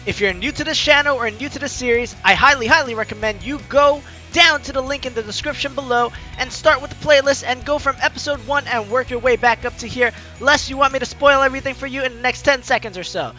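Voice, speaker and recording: A woman's voice: high voice, fast talker, hardly any pauses. A woman hosting a casual let's play, reading game text aloud and reacting chattily. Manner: animated, chatty reactions to the story. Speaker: gaming channel host. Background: game music.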